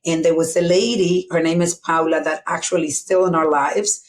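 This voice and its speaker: Low-voiced Dominican woman telling her story. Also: steady tone, normal speed. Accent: Dominican Spanish. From Dominican Republic. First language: Spanish. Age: middle-aged. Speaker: guest. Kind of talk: candid personal storytelling.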